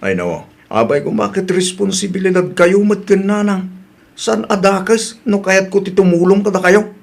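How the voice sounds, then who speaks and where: A man's voice: sits high, expressive, moderate pace, gravelly, heavily acted. A man with a Filipino accent playing a dutiful, earnest and defensive grown son and husband in an audio drama. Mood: reassuring.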